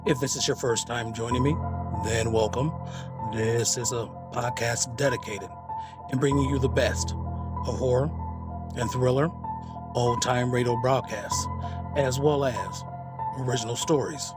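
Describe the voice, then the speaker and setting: A middle-aged man hosting a podcast, giving a scripted introduction in measured, separated phrases. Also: mid-range voice, fairly even intonation. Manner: measured, deliberate, pausing between phrases. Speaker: podcast host. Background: prominent music.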